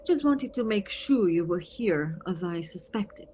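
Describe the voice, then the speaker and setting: A woman's voice: fairly low pitch, expressive, measured pace, dark tone, a little hiss or hum. A woman playing an eccentric, playful, officious European policewoman in an audio play, theatrical and teasing, with German phrases thrown in.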